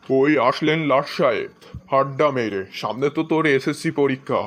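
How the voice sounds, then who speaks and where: A man's voice: medium pitch, normal speed, a bit bright, dry recording, close to an everyday voice. A man voicing a grumbling, sarcastic middle-aged father and husband in a comedy cartoon dub. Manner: sarcastic, lecturing.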